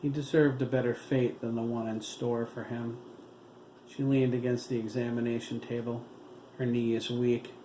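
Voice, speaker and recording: A man reads a novel aloud in an even, unhurried manner. His voice is middle-pitched and echoes in a roomy space. A constant hiss runs under the recording. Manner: slow, steady, even reading. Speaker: narrator reading a novel. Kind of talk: audiobook narration. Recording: roomy room with steady hiss.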